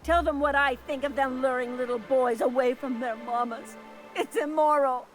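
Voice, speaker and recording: A woman's voice: sits high, steady tone, moderate pace, soft background music, natural voice. An actress playing an overwrought, folksy, doting mother in a video game, melodramatic and pleading. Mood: grateful, indignant. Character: fretful, overwrought, doting small-town mother. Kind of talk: video game character dialogue.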